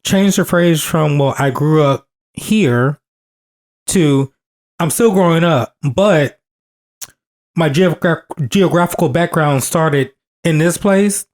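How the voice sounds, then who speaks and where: A man's voice: on the high side, takes it slowly. A man in his thirties co-hosting a podcast, talking earnestly and repeating phrases for emphasis as he restarts his sentences. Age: 30s. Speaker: podcast co-host. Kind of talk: casual podcast conversation.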